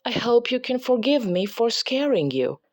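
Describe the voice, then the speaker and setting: Woman voicing an excitable high-pitched storybook little girl. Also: normal speed, no room sound. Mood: cheerful, coaxing. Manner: exclaiming, coaxing, talking to a pet. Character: Lucy, excitable playful young girl with a pet unicorn. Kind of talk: children's storybook read-aloud character voice.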